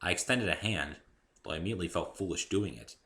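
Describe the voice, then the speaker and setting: A man reading a story aloud in the first person as an amateur narrator, expressive and theatrical. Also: deep voice, quick pace.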